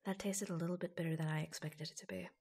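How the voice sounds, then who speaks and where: A woman playing an affectionate, proud and reassuring secret office lover in an ASMR roleplay, speaking softly, breathily and hesitantly, often close to a whisper. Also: low voice, fairly even intonation, fast talker. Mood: tender, hushed. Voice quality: soft, breathy. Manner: hushed, hesitant, confiding.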